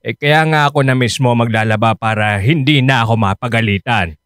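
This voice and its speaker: A man's voice: medium pitch, a little fast, a bit bright, no room sound, close to an everyday voice. An actor playing a concerned, insistent middle-aged Filipino father in a radio drama, speaking earnestly. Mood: hesitant, casual. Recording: dry studio with music underneath.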